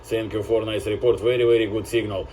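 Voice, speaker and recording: A man's voice: on the low side, flat delivery, a little fast. A man working as an amateur radio operator, making formulaic, courteous contacts with repeated numbers and phonetically spelled call signs. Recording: very noisy radio shack, static and clicks.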